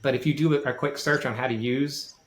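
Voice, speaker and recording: A man giving informal, explanatory podcast advice in a light voice without much bass. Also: steady tone, brisk. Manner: informal, self-deprecating. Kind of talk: casual explanatory podcast talk. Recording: roomy, echoey sound.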